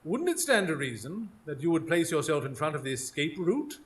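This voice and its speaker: Self-assured gentleman detective, male actor, formal, keen and very animated, for live radio drama. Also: on the high side, a little fast. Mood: analytical. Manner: precise, formal, inquisitive. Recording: live stage recording, faint ambient noise.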